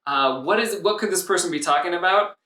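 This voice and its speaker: A man in his thirties with a loud voice, coaching viewers on calm communication in a video lesson, gesturing as he speaks. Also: sits high, brisk. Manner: animated, gesturing with both hands. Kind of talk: talking-head video lesson. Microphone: camera a few feet away. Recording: echoey room, voice slightly distant.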